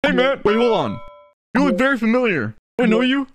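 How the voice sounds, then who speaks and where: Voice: mid-range voice, very animated, brisk. A performer doing comedic cartoon voice-over with playful, exaggerated reactions. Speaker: voice-over creator.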